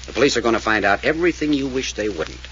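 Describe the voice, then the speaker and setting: An actor playing a wisecracking male amateur detective in a 1940s radio drama, questioning in a brisk, wry, probing manner. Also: mid-range voice, expressive, a bit bright, lightly acted. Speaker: detective figure. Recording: dull old radio recording with faint hiss.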